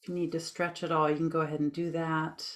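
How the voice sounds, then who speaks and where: A woman leading a guided meditation with gentle, informal instructions in a soothing, unhurried manner. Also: deep voice, flat delivery.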